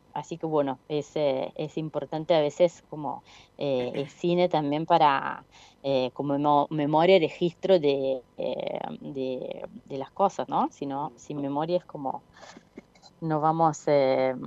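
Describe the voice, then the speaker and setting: A woman's voice: deep voice, steady tone, takes it slowly, dark tone, lo-fi sound. A woman from Italy with an Italian accent, a filmmaker answering interview questions hesitantly, with many fillers and restarts. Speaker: filmmaker guest. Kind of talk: casual interview.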